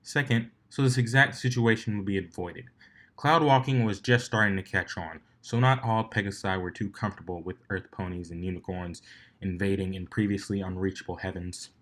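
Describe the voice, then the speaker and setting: A man's voice: sits low, steady tone, a little fast, a bit bright. A man narrating a written fan fiction story as its reader.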